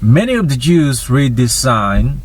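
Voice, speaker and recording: Guy reading the bible dramatically, slowish phrase by phrase, lots of up and down in his voice. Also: mid-range voice, very animated. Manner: deliberate, phrase-by-phrase delivery. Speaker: scripture narrator.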